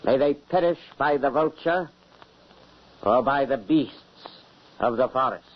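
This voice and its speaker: Slow, solemn oath-taker. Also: male voice, medium pitch, breathy edge. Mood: solemn, ominous. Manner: solemn, declamatory. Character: solemn male bridegroom swearing a doomed vow. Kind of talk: old-time radio drama acting. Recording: muffled, noisy old radio recording.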